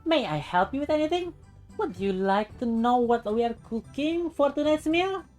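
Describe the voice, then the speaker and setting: A bold, eager castle cook woman , put on by a woman reader. Her voice is pitched well up and effusively courteous, in storybook style over music. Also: lively intonation, moderate pace, a little mellow. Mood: eager, deferential.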